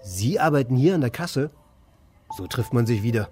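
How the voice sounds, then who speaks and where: A man acting in a radio play as an aimless, sardonic loiterer who speaks in a laconic, provocative, deadpan way. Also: medium pitch, moderate pace, faint music behind, lightly acted. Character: aimless idle man, sardonic, stubborn department-store loiterer. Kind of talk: German radio play dialogue and first-person narration.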